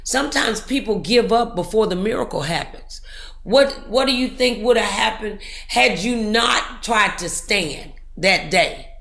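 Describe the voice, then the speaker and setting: A woman's voice: mid-range voice, animated, a little slow, creaky, fairly bright tone, reverberant. A woman hosting a talk show, speaking in an encouraging, preachy way full of motivational sayings.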